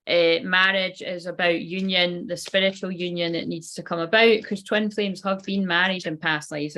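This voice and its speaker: A woman's voice: on the low side, a bit bright. Rambling woman doing a casual tarot reading. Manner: rambling, loose and swears casually. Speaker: tarot card reader.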